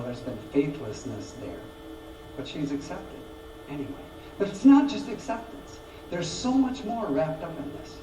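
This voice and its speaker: A man preaching a sermon to his congregation, telling the story with lively acted-out voices and frequent restarts. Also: on the high side. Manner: animated storytelling, acts out voices, repeats words. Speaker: pastor. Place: church sanctuary. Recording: roomy, distant mic, background noise.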